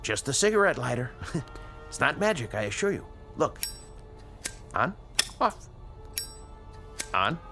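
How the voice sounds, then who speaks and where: An earnest, learned American doctor in a radio drama. Delivery is unhurried and articulate, with a very lively pitch that leans into each question. Music runs underneath. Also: male voice, gravelly, exaggerated voice. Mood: nervous, accommodating. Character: earnest scientist, educated, patient, morally probing male doctor.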